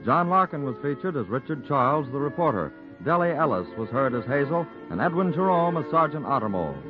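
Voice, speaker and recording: A man's voice: a little high, very animated, brisk. An American man reading scripted credits as a 1940s radio announcer. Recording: muffled 1940s radio broadcast. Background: loud music.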